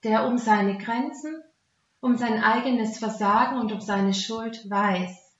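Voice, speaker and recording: A woman with a Swabian accent gives an earnest, conversational devotional talk. Her voice sits fairly high. The recording is quite echoey and slightly dull, lacking crispness in the sound. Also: takes it slowly. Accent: Swabian German. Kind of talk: devotional talk mixing Bible teaching and personal testimony. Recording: roomy echo, dull muffled sound.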